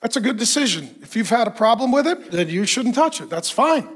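A man preaching a sermon to a congregation, folksy and self-mocking with quick asides, while he is losing his voice and keeps clearing his throat. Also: very high voice, big pitch swings. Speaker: pastor. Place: church in front of a congregation.

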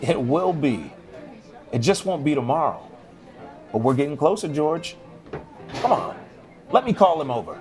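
A man playing a friendly, steady, hopeful American barman, plain-spoken and reassuring, in an audio drama. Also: on the high side, moderate pace, long pauses, some chatter behind. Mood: encouraging. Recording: music behind the voice.

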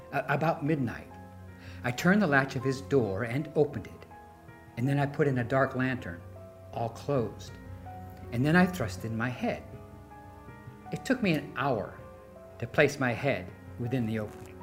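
A nervous madman, wildly swinging voice. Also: fairly high pitch. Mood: gleeful, manic. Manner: intense, halting, swinging from hushed to exclaiming. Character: nervous, obsessive madman confessing murder, insisting he is sane. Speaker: dramatic reader narrating a horror story. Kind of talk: theatrical dramatic reading of gothic horror.

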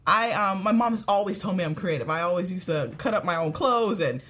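Husky-voiced woman chatting as a show guest. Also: on the low side, lively intonation, brisk, fairly bright tone. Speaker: talk show guest, entrepreneur. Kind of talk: casual conversational storytelling.

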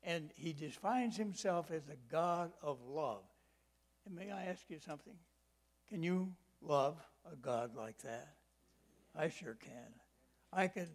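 An elderly man in his eighties delivers a sermon in a slightly raised voice. He leans hard on key words, stretching them out, then slips into quiet, homely asides and personal stories. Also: on the high side, expressive, takes it slowly. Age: 80s. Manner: folksy personal storytelling. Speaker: preacher.

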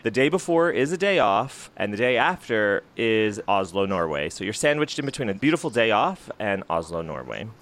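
A man's voice: lowish voice, big pitch swings, moderate pace, few pauses, a bit bright. An American man with an American accent, hosting a casual interview and asking questions with an enthusiastic, chatty manner. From United States. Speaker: podcast host.

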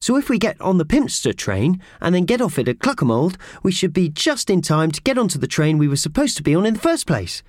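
Small giggly storybook mouse: very high, eager, chattering, bouncy intonation. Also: male voice, a little fast, few pauses, no room sound, clean studio sound. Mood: eager, explaining. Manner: excitable, earnest, chattering.

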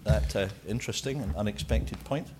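A man's voice: fairly low pitch, brisk, background noise. A man chairing a conference Q&A, wordy and dryly jokey, inviting questions from the floor. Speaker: chair of forum session. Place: conference hall.

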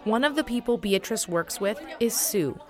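A woman's voice: fairly high pitch, animated, moderate pace, a crowd in the background. A woman narrating as a podcast host from a script.

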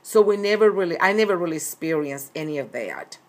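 A woman's voice: on the low side, animated, brisk. A middle-aged woman from Colombia, speaking earnestly and often repeating herself as an interviewed author. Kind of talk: recorded interview.

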